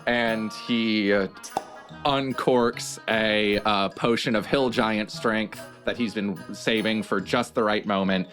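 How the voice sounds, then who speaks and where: Low-voiced man narrating his game character. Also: steady tone, slow, fairly bright tone, faint music behind. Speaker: tabletop roleplay player.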